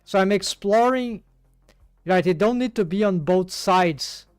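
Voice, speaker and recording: Slow, halting Brazilian man, high voice. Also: smooth, dry recording, clean studio sound. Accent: Brazilian Portuguese. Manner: thinking aloud, halting, many fillers and restarts. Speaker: 3D rigging streamer. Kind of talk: live tutorial while working.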